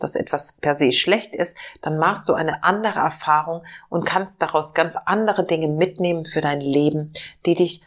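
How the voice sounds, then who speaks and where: A woman host giving a reflective self-help talk, slightly hoarse from a cold, gentle and searching with many fillers. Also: low voice. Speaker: podcast host.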